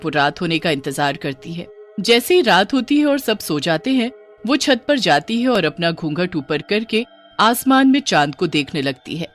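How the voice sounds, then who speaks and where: A woman's voice: on the low side, very animated, normal speed, soft background music. A woman storyteller reading a scripted horror story in a dramatic narrating style.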